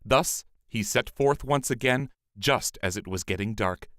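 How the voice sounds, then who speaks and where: A man reading a fairy tale as a storyteller, in a measured, expressive scripted narration. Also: mid-range voice, no echo, studio quality.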